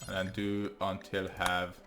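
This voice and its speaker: A young man with a Norwegian accent, English not his first language, casually talking through his gameplay and thinking aloud with frequent ums and pauses. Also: low-pitched, completely flat delivery, over music. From Norway. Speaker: gaming channel host. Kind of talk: let's play commentary.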